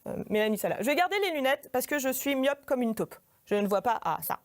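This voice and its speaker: A woman with a fairly high voice delivers a humorous performance lecture about herself. She is informal and self-deprecating, with a hesitant, searching way of talking to her audience. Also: sing-song, brisk. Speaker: artist giving a performance lecture. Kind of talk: humorous autobiographical stage monologue. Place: in front of a live audience.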